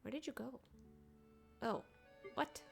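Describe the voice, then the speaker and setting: A woman's voice: on the high side, slow, gravelly. A woman streaming a game, giving casual, playful, reactive live commentary. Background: game music.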